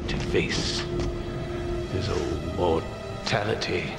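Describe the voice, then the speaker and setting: An actor playing a dying, bitter old mastermind with delusions of godhood, halting and grandiose, in a video game. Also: male voice, sits low, gravelly, heavily acted. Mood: bitter, fading. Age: older. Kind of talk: dramatic video game cutscene acting. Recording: echoing hall, loud dramatic music behind.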